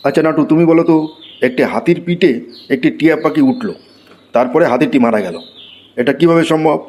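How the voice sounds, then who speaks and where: A man voicing an excitable, exasperated schoolteacher who scolds and exclaims in a comic cartoon. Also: on the high side, quick pace, close to an everyday voice. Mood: quizzing. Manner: scolding, exclamatory, mock-praising. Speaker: cartoon voice actor. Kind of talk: comic Bengali cartoon dialogue.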